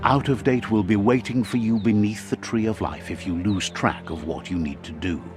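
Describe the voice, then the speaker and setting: A middle-aged man narrating a game in a measured, wise storytelling manner. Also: fairly low pitch, music in the background.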